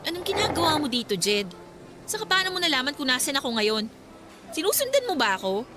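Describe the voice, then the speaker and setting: A woman's voice: high-pitched, big pitch swings, fast, over loud music. A woman playing a young college student in a Tagalog radio drama, firm and exasperated with her ex. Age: young adult. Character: young female college student, fed up with controlling ex.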